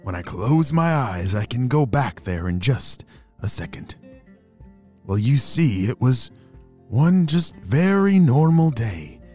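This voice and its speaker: A man voicing a 137-year-old grandpa tortoise, wise and protective, who speaks slowly and gently. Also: mid-range voice, very animated, faint music behind. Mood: gentle.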